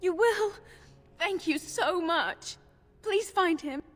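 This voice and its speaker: A woman's voice: very high-pitched, expressive, slow pace, a bit bright, heavily acted. A woman playing a frightened, tearful young village girl in a game, timid and pleading as she stammers. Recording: long reverberant hall echo.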